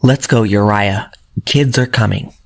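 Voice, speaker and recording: A woman plays a sheepish teenage boy sidekick in a comic dub. The voice is very low and slow, uneasy and hesitant. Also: vocal fry, full character voice. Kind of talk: fandub acting.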